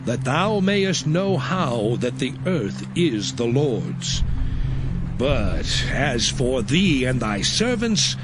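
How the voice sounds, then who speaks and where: Casting: stern biblical prophet. Declamatory, very slow, highly inflected delivery for scripture drama. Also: male voice, big pitch swings. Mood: firm. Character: Moses, stern male prophet delivering warnings. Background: music.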